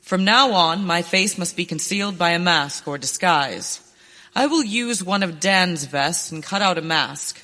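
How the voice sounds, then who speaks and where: A woman's voice: on the low side, unhurried, sharp tone. A woman playing a determined young rancher turned masked avenger in a western radio play, speaking earnestly and theatrically. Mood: resolute. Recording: reverberant hall, stage performance.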